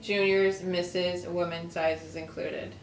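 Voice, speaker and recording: A woman's voice: fairly low pitch, flat delivery, slow, slight background noise. A woman, hosting a casual video, chatting and reading aloud. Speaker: host of a lifestyle video channel. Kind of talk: casual chat with reading aloud from an old newspaper. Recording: roomy echo, faint music underneath.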